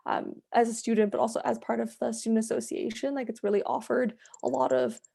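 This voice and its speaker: A woman's voice: fairly high pitch, sing-song, brisk, vocal fry, dark tone, slightly rough. A woman in her twenties answering casually as a student panellist, conversational and peppering her sentences with filler words. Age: 20s. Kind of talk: casual webinar interview answers.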